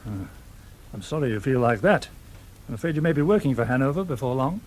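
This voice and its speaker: An actor playing an ailing, weary but firm middle-aged British factory owner in a radio drama, speaking formally with some hesitation. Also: male voice, medium pitch, animated, quick pace, darkish tone, natural voice, rough recording. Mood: coolly firm.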